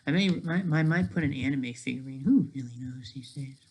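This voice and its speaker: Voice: mid-range voice, brisk, a little mellow. A person giving rambling, off-the-cuff commentary as an amateur creator, often breaking off mid-sentence and tagging statements with "right?".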